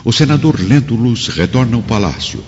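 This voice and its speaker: A man's voice: mid-range voice, sing-song, normal speed, a little mellow. A man narrating a scripted drama in a solemn, literary manner. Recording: dull recording over music.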